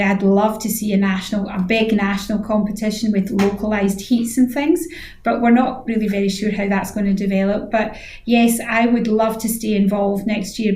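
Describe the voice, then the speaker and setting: A woman's voice: medium pitch, darkish tone. A Scottish woman in her sixties giving thoughtful, wordy answers as a guest in a conversational radio interview, often repeating her phrases. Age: 60s. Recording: echoey room sound.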